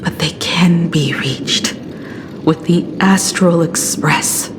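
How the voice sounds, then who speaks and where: Solemn, low-voiced, slow-speaking woman. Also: noisy background. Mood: quietly defiant. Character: solemn, composed woman, quietly defiant and wistful. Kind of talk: dramatic video game voice acting. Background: background music.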